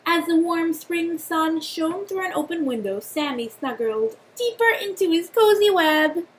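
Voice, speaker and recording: Woman, high voice, expressive storybook narration, teacher questioning children, faint background noise. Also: poor audio. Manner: expressive, pauses to question young listeners. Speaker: teacher reading aloud to children.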